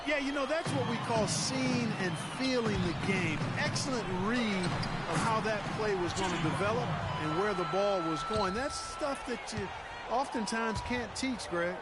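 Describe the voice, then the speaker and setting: A man's voice: sits high, a little slow, very noisy. A man voicing a keen, explanatory analyst in a basketball video game. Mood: approving. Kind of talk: sports commentary in a video game.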